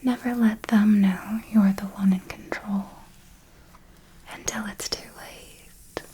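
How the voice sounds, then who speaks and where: A woman voicing an ancient, seductive and predatory vampire mentor in a whispered, deliberate ASMR roleplay, doting yet menacing. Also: mid-range voice, fairly even intonation, dark tone, slight background noise, close to an everyday voice. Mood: coaxing, predatory.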